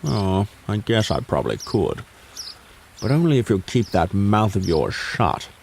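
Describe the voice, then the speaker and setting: A sly, sardonic fox from a children's audio story, acted out by a man. The drawl is slow and unhurried, with a gravelly creak in it. It feigns indifference while sliding up and down in pitch. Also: sing-song, a little mellow, a little hiss or hum, exaggerated voice.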